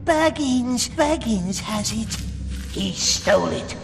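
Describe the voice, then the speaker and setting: An actor voicing a wretched, scheming cave creature in a video game, with a strangled raspy whine and hissing, broken speech. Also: male voice, very high voice, wildly swooping pitch, takes it slowly, long reverb tail, heavily acted. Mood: bitter, spiteful. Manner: hissing plural self-talk, broken grammar. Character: wretched, scheming, whining cave creature obsessed with his treasure. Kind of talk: theatrical video game acting. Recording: loud music behind the voice.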